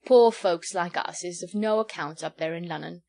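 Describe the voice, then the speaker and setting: A woman doing a doleful old rustic villager, quiet and grumbling. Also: on the low side, normal speed, vocal fry. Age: 70s. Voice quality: quiet, mournful, rustic drawl. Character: elderly male village sage, doleful and pompous.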